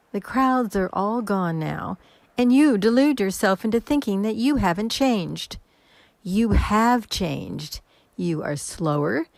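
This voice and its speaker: An actress playing a weary, long-suffering magician's assistant in a radio mystery play, frank and reproachful. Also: female voice, medium pitch, vocal fry, no room sound.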